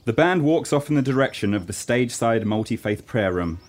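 A man's voice: mid-range voice, animated, fairly quick. A man narrating a scripted comedy radio play in a mock-serious storytelling manner.

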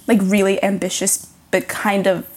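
A woman's voice: medium pitch, flat delivery, normal speed, darkish tone, slightly rough. A young woman from Zimbabwe, a podcast guest chatting casually, with lots of "like" fillers. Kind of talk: casual interview chat.

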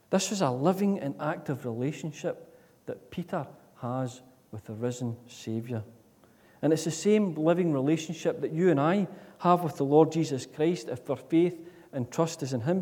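A man preaching a sermon in a church, earnest, with repeated rhetorical questions that swing between loud and soft. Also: fairly high pitch. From Scotland. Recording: long reverb of a church hall.

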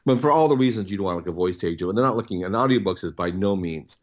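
A middle-aged man talking casually as an interview guest, in a halting, rambling way with many repeated words and restarts. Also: sits low, animated. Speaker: interview guest, audiobook producer.

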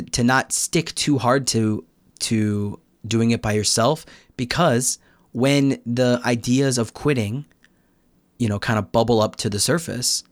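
A man's voice: mid-range voice, no room sound, studio quality. A young American man talking reflectively as a podcast guest, explaining his thoughts in a casual conversational way. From United States. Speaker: podcast guest, Korean learner.

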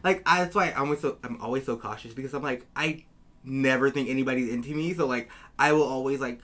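A woman's voice: rumbling low, fast talker. A woman in her twenties from Downey with a Southern California accent, hosting a casual chat in a gushing, slangy way. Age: 20s. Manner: gushing, slangy, frequent "like" and "you guys". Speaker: podcast host.

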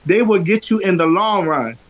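A man's voice: high voice, lively intonation, moderate pace. A man from Philadelphia, a singer who says he has a powerful voice, talking through an interview in a loose, rambling way with lots of fillers. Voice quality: strong, powerful voice. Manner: rambling, with many fillers and restarts. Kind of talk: casual interview chat.

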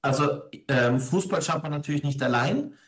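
A man's voice: fairly high pitch, moderate pace, fairly bright tone. A man from Ingolstadt in Germany, a parish priest, answering interview questions in a reflective, conversational way with frequent hesitations. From grew up in Ingolstadt, Germany.

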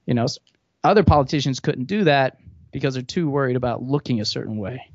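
A man's voice: mid-range voice, lively intonation, fast, no room sound. Rambling man with a dull, dry sound. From grew up in Thailand. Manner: rambling, many restarts and fillers. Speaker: podcast guest. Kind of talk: casual political chat.

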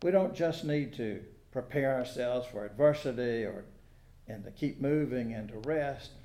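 An American man preaching a sermon in a folksy, conversational way, pausing deliberately between phrases. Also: medium pitch, warm tone, slight background noise. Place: church sanctuary during a service. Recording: echoey room sound.

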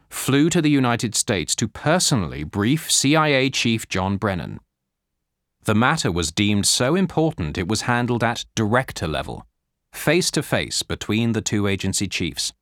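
A man narrates a nonfiction audiobook in a fairly low voice. His reading is scripted, measured and carefully articulated. The sound is dry, as recorded in a studio with little room around it. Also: fairly bright tone, no room sound.

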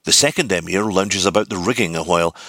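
A man reading literary narration from a script, with deliberate, theatrical phrasing. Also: deep voice, pushed and bright, no echo, clean studio sound. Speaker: audiobook reader.

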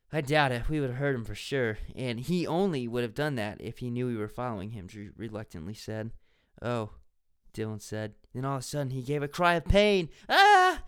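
A man's voice: mid-range voice, a bit bright, smooth. A young man reading a children's mystery book aloud in a mocking, dramatic way, breaking off to make sarcastic comments. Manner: sarcastic, laughing at the text.

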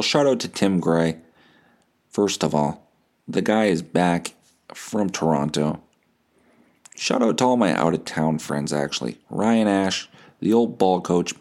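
A man's voice: deep voice, darkish tone. A young man hosting a casual, rambling solo podcast in a profane, slangy, self-deprecating way. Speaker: podcast host.

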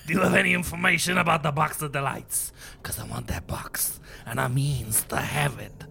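A man's voice: fairly high pitch, steady tone, normal speed, gravelly, sharp tone, slight background noise, full character voice. A man voicing a posh, evil, pompous British villain with a florid, menacing delivery in a comic read-through.

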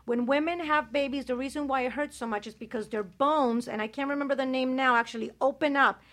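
A woman's voice: high voice, brisk, a bit bright. A woman hosting an explicit casual podcast chat, talking in a brash, boastful and blunt way. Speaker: host.

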